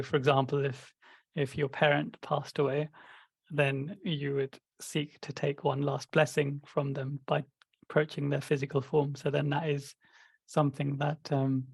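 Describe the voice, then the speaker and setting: A man's voice: on the high side, creaky, breathy edge, no echo. A man co-hosting a podcast, talking things through in a thoughtful, explanatory way with many fillers. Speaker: podcast co-host. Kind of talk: casual discussion.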